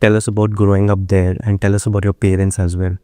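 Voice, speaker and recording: A man with a mellow, lowish voice, captured dry. He interviews in a conversational manner, as the host of a podcast. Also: little pitch movement, fast, smooth, no room sound.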